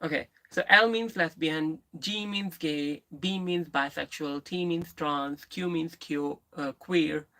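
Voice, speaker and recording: Brief: a woman streamer with a lisp and a bright, forward tone, talking frankly to her viewers and often breaking off mid-sentence. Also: low-pitched, rough recording. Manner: candid and rambling, with frequent restarts and pauses. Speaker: live streamer. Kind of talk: casual live stream chat, answering viewers.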